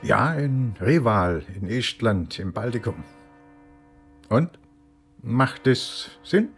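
An actor playing a good-humoured provincial police inspector with a Swabian accent and a folksy, rambling manner in a radio play. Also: male voice, mid-range voice, lively intonation, takes it slowly, soft background music. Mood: explaining.

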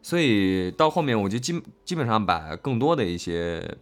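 Expressive low-voiced man chatting earnestly. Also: soft background music. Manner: earnest, halting, repeats phrases. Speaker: voice actor discussing an audio drama. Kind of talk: casual, reflective cast chat.

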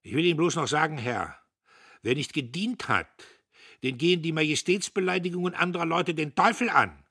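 A man voicing a gruff, bullying retired army major who barks and thunders. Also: on the high side, normal speed, sharp tone, no room sound, clean studio sound, pitched above the performer's own voice, exaggerated voice. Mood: angry. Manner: barking, thundering.

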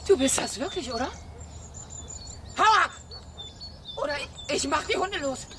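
An actress playing a settled, sharp-tongued ex-girlfriend in a radio drama, curt, exasperated and dismissive. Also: high-pitched, lively intonation, unhurried, very noisy. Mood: hostile, flustered.

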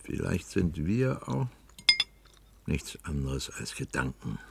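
An actor playing a weary, brooding middle-aged worker confined underground, musing with resignation in a science-fiction radio drama, his voice gravelly and husky. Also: rumbling low, sing-song, slow pace, very noisy, exaggerated voice. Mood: brooding, resigned. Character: brooding, weary male worker confined to a cellar control room.

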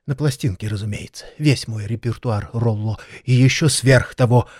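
An actor playing an excitable, sentimental elderly maestro pianist in an audio drama, theatrical and halting. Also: male voice, medium pitch, dry recording, clean studio sound, close to an everyday voice. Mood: exalted, excited.

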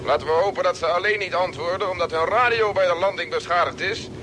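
An actor playing an eager, dutiful young crewman reporting briskly to his captain in an old radio drama. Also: natural voice. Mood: eager, concerned. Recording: noisy old radio drama, rumbling background.

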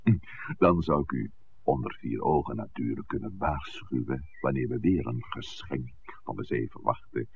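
A courteous, evasive village priest in a 1960s radio play. His voice is extremely low and gravelly, with formal, measured phrasing. The recording is thin and band-limited, with noise underneath. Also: phone-quality sound. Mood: smooth, discreet. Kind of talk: 1960s radio drama acting.

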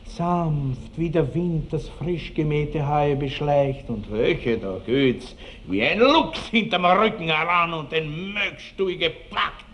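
An actor playing a boastful, lecherous country baron with an Austrian accent and pompous, relishing delivery in a radio drama. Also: male voice, a little high, animated, slow pace, hardly any pauses, fairly bright tone. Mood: gloating. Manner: pompous, rambling, relishing every word. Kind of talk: classic radio drama acting. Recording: noisy old 1960s radio drama recording.

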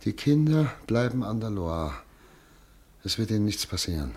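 An actor playing a German émigré doctor who calmly foresees war and internment in a radio drama. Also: male voice, on the low side, moderate pace. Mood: firm. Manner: subdued, fatalistic, earnest. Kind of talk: radio play acting.

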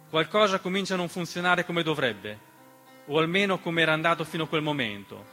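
A man's voice: fairly high pitch, pushed and bright. A man delivering an emphatic, dramatic theatrical monologue as narrator, with rhetorical questions and refrains. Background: piano accompaniment.

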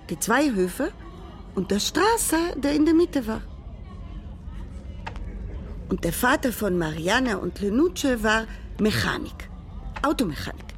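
An actress playing an elderly grandmother who tells a grandchild about her childhood, speaking haltingly with broken grammar. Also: on the high side, slight background noise. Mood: reflective. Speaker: narrator figure telling a childhood memory. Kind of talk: radio drama monologue.

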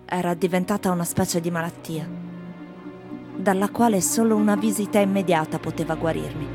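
An actress playing wry, world-weary foreign tourists in a theatrical radio monologue, speaking in delivery. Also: female voice, on the low side, lightly acted. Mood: confiding. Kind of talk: theatrical scripted monologue over music. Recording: music playing behind the voice.

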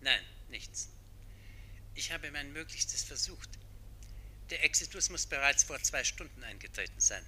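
Sharp, very bright-voiced formal doctor. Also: male voice, mid-range voice, expressive, a little slow, long pauses, slight background noise. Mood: grave. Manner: formal, precise. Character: formal, grave male doctor. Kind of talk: radio play acting.

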